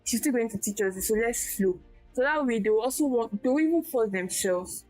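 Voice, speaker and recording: A young woman speaks in a small, quiet voice as a guest tutor in a casual interview. She talks haltingly, often restarting her sentences. Faint music plays underneath. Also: fairly high pitch, animated, poor audio. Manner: soft-spoken, halting with restarts. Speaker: guest, tech skills tutor.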